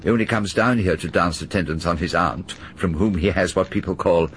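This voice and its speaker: An actor playing a genial older gentleman with a fussy, chuckling manner in a radio drama. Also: sits low, a little fast, a little hiss or hum. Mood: chatty. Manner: chuckling, fussy, hesitant repeats. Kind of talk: 1930s-style BBC radio drama.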